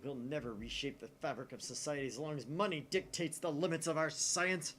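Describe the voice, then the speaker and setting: A man voicing a grandiose, excitable young mad scientist with a pompous, declamatory delivery in a visual novel. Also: on the high side, a little fast, slight background noise, voice pushed up, lightly acted. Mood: grandiose, boastful.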